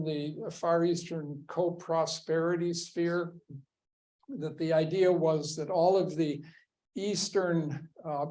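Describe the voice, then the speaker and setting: Male lecturer, slightly high voice, slow deliberate delivery, emphatic, rhetorical questions. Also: a little mellow. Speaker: history lecturer. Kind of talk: classroom lecture.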